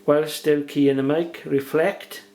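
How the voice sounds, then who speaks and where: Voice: mid-range voice, level tone, unhurried, mellow. A hobbyist presenter giving a casual beginner's how-to, talking plainly and without hurry through each step while working.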